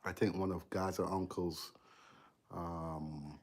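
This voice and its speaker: A man's voice: low voice, slow pace. A man with a Barbadian accent chatting casually on a live stream while he works in a cold basement electrical room, trailing off with fillers as he concentrates. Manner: relaxed, drifting off mid-sentence with fillers while busy. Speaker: electrician livestreaming his work.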